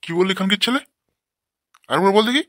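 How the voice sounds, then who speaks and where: A man voicing a short-tempered, foul-mouthed village father in a comic cartoon, gruff and scolding. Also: sits high, expressive, fast, fairly bright tone, dry recording, studio quality. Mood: angry scolding.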